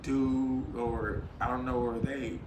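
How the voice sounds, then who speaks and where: A man's voice: mid-range voice, little pitch movement, slow. A man speaking earnestly as a guest in a casual panel discussion, leaning on "you know" and "you know what I'm saying.". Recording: echoey room with loud noise.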